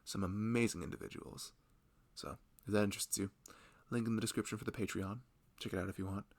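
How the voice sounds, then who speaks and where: A low-voiced man with a gravelly fry rambles casually, as if making it up as he goes. He is self-deprecating and informal, talking like a creator addressing his listeners. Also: fairly even intonation, fast talker, darkish tone. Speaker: audio roleplay creator. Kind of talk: casual rambling improvised intro.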